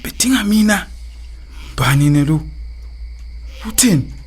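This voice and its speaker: A man's voice: sits high, expressive, measured pace, breathy edge, exaggerated voice. A man with a Zimbabwean accent playing an evasive, cajoling philandering husband in a radio drama. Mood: flustered. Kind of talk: radio drama acting in Ndebele.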